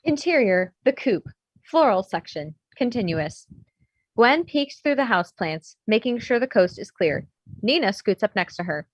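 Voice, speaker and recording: A woman's voice: mid-range voice, big pitch swings, moderate pace, no room sound, dull audio. A woman reading stage directions as scripted narration at a comedy table read.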